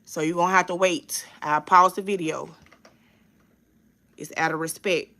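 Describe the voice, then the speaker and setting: Bright-voiced woman, profane reaction host. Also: fairly low pitch, fairly even intonation, moderate pace. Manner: profane, emphatic, streetwise. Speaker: commentary channel host. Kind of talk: casual livestream reaction chat.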